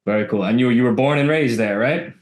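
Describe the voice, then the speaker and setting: A man's voice: medium pitch, fast talker. A man hosting a podcast, presenting and asking questions in a conversational way. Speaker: podcast host. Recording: roomy, echoing sound.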